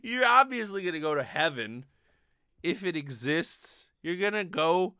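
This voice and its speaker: Male comedy host, incredulous ranter, very lively intonation, dry close-miked home recording. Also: a little high, a little slow, smooth, dry recording. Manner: incredulous, ranting. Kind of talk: casual comedic commentary with swearing. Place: small home bedroom. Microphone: desk microphone with pop filter, close.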